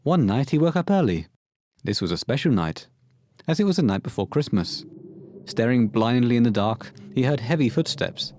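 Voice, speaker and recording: A man narrating a scripted horror story for an audio play in a measured, literary storytelling manner. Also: mid-range voice, sing-song, a little mellow, a little hiss or hum, close to an everyday voice.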